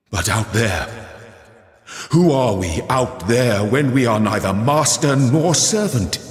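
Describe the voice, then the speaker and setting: A man playing a solemn, paternal creator god in a game, speaking in grand, formal, scriptural phrases with measured authority. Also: sits low. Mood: solemn, reassuring, then anguished. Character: godlike male creator, solemn, paternal, commanding AI deity. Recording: cavernous reverb with long echoing tail.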